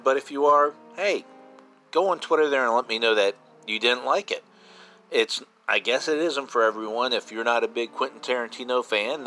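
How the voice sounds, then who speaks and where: Male podcast host, fifties: bright, punchy, informal fan chat, repeats points for emphasis, faint music bed. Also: medium pitch. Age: 50s. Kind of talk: casual solo podcast talk.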